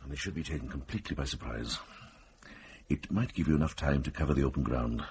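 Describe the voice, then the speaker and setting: An actor playing a wise, determined middle-aged monk and former soldier in a radio drama, measured, thoughtful and dryly understated. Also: male voice, extremely low pitch, a little mellow, a little hiss or hum. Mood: urgent, strategic.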